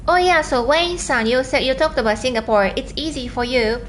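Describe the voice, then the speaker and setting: A woman from Japan with a Japanese accent, chatting casually on a live stream from home, talking fast and earnestly with halting restarts as she reads and answers viewer comments. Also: high voice, a little hiss or hum.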